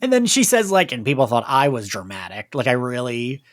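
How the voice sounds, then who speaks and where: A podcast host chatting casually and excitedly about an audio drama, with the gushing, giggly manner of a fan. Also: medium pitch, a little fast, no room sound, studio quality. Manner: animated, gushing fan talk peppered with laughter.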